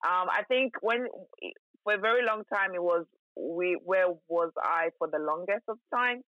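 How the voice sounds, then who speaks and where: A woman's voice: fairly low pitch, normal speed, dry recording, lo-fi sound. A woman in her twenties from Nigeria, a scientist chatting as a podcast guest and telling a personal story with lots of fillers. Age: 20s. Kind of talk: casual conversation.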